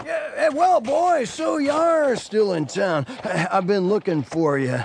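An actor playing a pushy, blustering cowhand in a western drama, stammering and wheedling with a creaky voice. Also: male voice, sits high, unhurried, a little hiss or hum. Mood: eager. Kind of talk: western audio drama acting.

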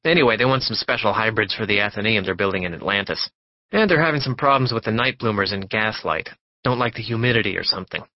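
A man voicing a loud, boastful heavy-set male botanist, talking in a booming, pushy, rapid-fire way. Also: lively intonation, no room sound. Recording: dull dry studio recording.

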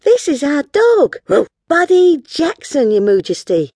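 A woman voicing an eager, excitable young girl with a wide-eyed, exclaiming manner in a children's adventure story. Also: sits high, normal speed, no echo, clean studio sound, pitched above the performer's own voice. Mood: proud.

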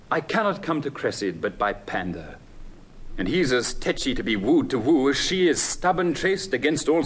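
An actor playing a lovesick, impatient young Trojan prince in classical Shakespearean verse, with impassioned, declamatory delivery. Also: male voice, medium pitch, a bit bright. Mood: exasperated, brooding. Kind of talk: classical Shakespearean stage acting.

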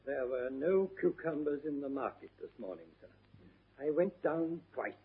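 An actor playing a deferential, imperturbable manservant in a classic stage comedy, formal and deadpan. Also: male voice, fairly high pitch, unhurried, breathy edge, heavily acted. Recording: muffled vintage narrow-band radio recording.

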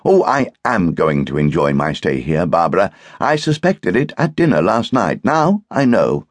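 A man's voice: low-pitched, sing-song, normal speed, no room sound, voice pushed up. A man voicing a witty, teasing English houseguest with a pompous, mock-wounded manner, as in an audiobook. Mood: mildly amused.

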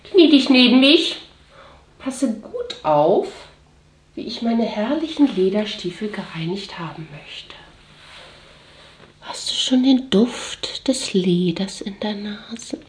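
A woman playing a haughty mistress in an intimate audio drama, commanding and condescending, often slipping into breathy whispers. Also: mid-range voice, animated, slow, echoey room, slight background noise. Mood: commanding, sensual. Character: haughty dominatrix mistress commanding her servant. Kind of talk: scripted German audio drama monologue, intimate.

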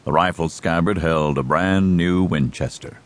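A man narrating a dramatized western audiobook in a measured storytelling style. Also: low-pitched.

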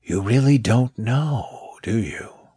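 A man voicing a large, sly boa constrictor in a children's story, speaking slowly and with amusement, at times in a whispery tone. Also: mid-range voice, expressive, dark tone, slightly rough, no echo, clean studio sound, pitched above the performer's own voice, full character voice. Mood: sly, knowing. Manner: slow, drawn-out, sometimes whispery speech. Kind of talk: children's audiobook character.

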